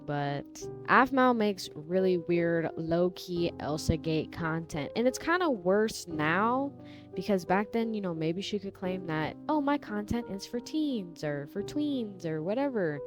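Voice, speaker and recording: A woman's voice: on the low side, lively intonation, normal speed. A woman giving casual video commentary in an informal, opinionated way. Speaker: YouTube commentator. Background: music behind the voice.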